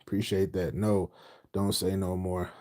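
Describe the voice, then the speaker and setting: Laid-back low-voiced Texas man riffing. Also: little pitch movement, a little slow, mellow. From Houston, Texas. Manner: loose, slangy, repeats phrases, trails off. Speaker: livestream host reading chat. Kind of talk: casual late-night livestream chat.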